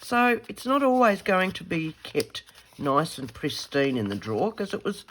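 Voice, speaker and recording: An Australian woman talks through a craft demonstration at her desk. Her voice is croaky from a head cold. She chats casually, thinking aloud and correcting her own words as she goes. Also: fairly low pitch, sing-song, normal speed, slight background noise, poor audio. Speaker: craft tutorial host.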